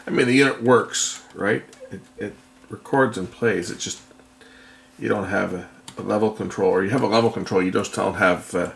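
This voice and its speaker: Male workshop vlogger, fry-heavy and fairly deep, musing tentatively through a hands-on repair. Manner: thinking aloud, tentative and unhurried. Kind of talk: casual hands-on repair commentary. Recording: noticeable background noise.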